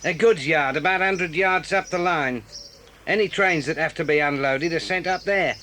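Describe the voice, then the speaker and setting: A man's voice: on the high side, moderate pace, sharp tone, slight background noise. An actor playing a curt, grudging railway signalman in a radio drama, explaining the points and warning children away. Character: gruff male railway signalman.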